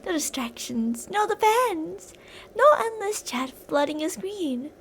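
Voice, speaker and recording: Woman acting a very high-pitched obsessive yandere girl. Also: very animated, takes it slowly, slight background noise, exaggerated voice. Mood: smug, fixated. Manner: intimate, swinging between insistent pleading and soft whispery menace. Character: obsessive yandere female fan, possessive, unhinged kidnapper. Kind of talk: ASMR roleplay, dramatic monologue addressed to the listener.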